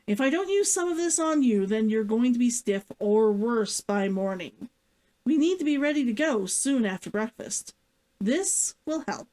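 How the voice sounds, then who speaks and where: A woman voicing a gruff but caring male hunter in a story, instructing in a measured, matter-of-fact way. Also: a little high, animated, mellow, natural voice. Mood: practical, caring. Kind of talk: fiction read-aloud character dialogue.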